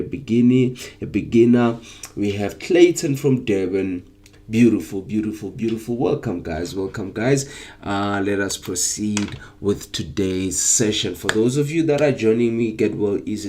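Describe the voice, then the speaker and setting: A young man hosting a live trading stream, sick with flu so his voice is less clear, upbeat and hyping up his viewers with plenty of ums. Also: fairly low pitch, expressive, moderate pace, warm tone. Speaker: trading session host.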